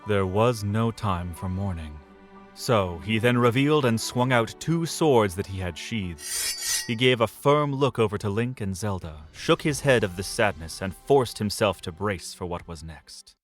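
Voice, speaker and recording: A man with a low voice narrates a fantasy audio drama. He reads in a measured, dramatic storytelling manner. A loud music score runs beneath his narration.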